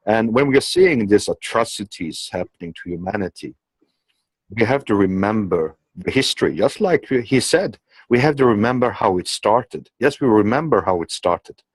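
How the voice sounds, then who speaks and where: A man's voice: lowish voice, expressive, moderate pace, slightly rough, no room sound. A man, not a native English speaker, delivering an unscripted political monologue in an emphatic, insistent manner, often checking in with "okay?". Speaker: commentator.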